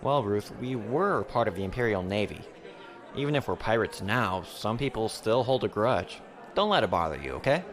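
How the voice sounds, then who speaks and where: An actor playing a patient, easygoing pirate starship captain, calm and informal, in an audio drama. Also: male voice, fairly low pitch, moderate pace, a faint crowd. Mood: patient, reassuring.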